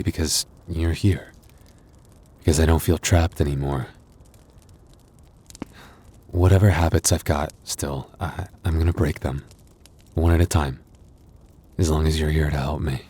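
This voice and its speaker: A man voicing a tender, bashful young werewolf boyfriend in an intimate ASMR roleplay, halting and stammering, with breathy little laughs and sighs. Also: a bass voice, darkish tone, full character voice.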